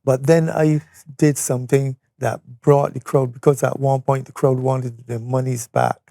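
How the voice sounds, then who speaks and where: Gravelly, mellow older Barbadian man. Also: medium pitch, level tone, normal speed, no room sound, studio quality. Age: 70s. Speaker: interview guest, retired or veteran educator. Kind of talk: conversational reminiscence. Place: studio.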